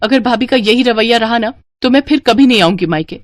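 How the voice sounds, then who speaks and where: A woman voicing aggrieved young married women in an animated Hindi family story, with an emphatic, complaining manner. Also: a little high, brisk, no room sound, close to an everyday voice. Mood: hurt, sulky.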